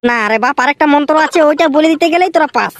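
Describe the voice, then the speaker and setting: Man voicing a high-pitched grumbling cartoon village priest. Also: very fast, a bit bright. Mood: placating. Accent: Purulia Bengali. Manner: scolding, rustic, exclamatory. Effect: pitch-shifted up. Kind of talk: comic cartoon dialogue. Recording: clearly noisy track with clicks.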